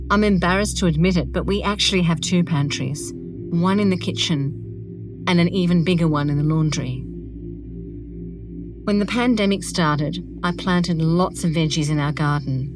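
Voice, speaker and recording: A woman's voice: on the low side, measured pace, pauses often. A woman narrating a scripted audio guide, speaking conversationally and giving the listener direct instructions. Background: music.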